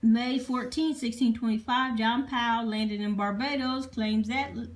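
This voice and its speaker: A woman presenting history informally, reading from notes in a halting way and spelling out difficult words. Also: fairly high pitch, steady tone. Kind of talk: informal lecture reading dated notes aloud.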